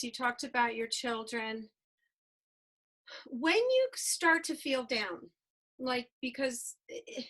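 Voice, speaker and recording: A woman hosting a casual interview, talking in a warm, meandering way with many pauses and fillers. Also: sits high. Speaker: host.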